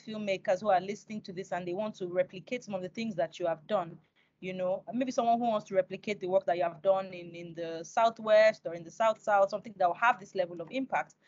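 A woman's voice: mid-range voice, darkish tone, no room sound. A young woman hosting a conversational interview over a video call. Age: young adult. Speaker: interviewer, host. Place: indoors, close to the device, dry sound. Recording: dull lo-fi video-call audio.

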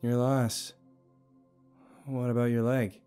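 A man's voice: medium pitch, flat delivery, slow, dark tone, clean tone, faint music behind, voice pushed up, close to an everyday voice. A man voicing a teasing, informal young woman, a maid in an audio story, with a breezy manner.

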